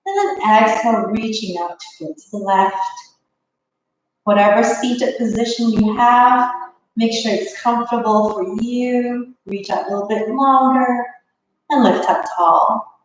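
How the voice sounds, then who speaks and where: A woman leading a live online yoga class, her voice a little rough from allergies, giving calm, guiding cues. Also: fairly high pitch, unhurried, recorded from a distance, a little hiss or hum. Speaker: yoga instructor. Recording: echoey room, away from the mic.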